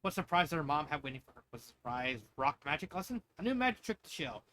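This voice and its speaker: A man reading fan fiction aloud as an amateur narrator, expressive and sometimes stumbling over his words. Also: a little high, fast, a little hiss or hum. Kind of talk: amateur storybook narration with asides.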